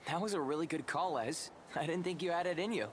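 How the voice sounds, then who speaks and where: A man's voice: fairly high pitch, fast, a little hiss or hum, lightly acted. A man voicing a supportive young male companion in a video game. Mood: approving.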